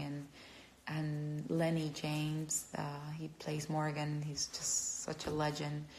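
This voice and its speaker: A woman from Cuba with a Cuban Spanish accent, chatting casually on a phone livestream, halting and gushing as she answers fans. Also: sits low, gravelly, darkish tone, a little hiss or hum, poor audio. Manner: halting, searching for words, gushing.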